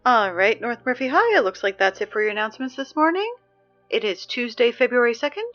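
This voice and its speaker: A woman's voice: a little high, sing-song, a little fast, a bit bright, muffled recording, soft background music. A woman playing an exasperated teenage girl who reads the school morning announcements, sarcastic and easily flustered. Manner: sarcastic, flustered, prone to outbursts. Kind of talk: comedic audio drama acting.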